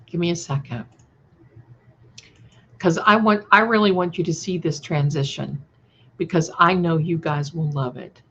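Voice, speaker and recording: A woman hosting a casual livestream, chatting to viewers in an affectionate, effusive and somewhat halting, scattered way. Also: lowish voice, fairly bright tone, husky. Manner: affectionate, effusive, addressing listeners with endearments. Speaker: livestream host and creator. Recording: dull, lo-fi livestream audio.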